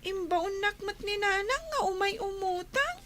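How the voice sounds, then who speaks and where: Squeaky, slow, whiny Ilocano child. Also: female voice, expressive, crowd noise. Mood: pleading. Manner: whiny, hesitant, pleading. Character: hesitant, whiny young village child sent on errands. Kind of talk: Ilocano comedy radio drama acting.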